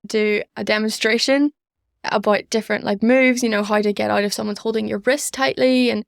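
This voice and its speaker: A woman's voice: fairly high pitch, fairly even intonation, fairly quick, creaky, dry recording, clean studio sound. A young woman, chatting earnestly as an interview guest. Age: young adult. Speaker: podcast guest, student society organiser. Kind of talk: conversational interview answers.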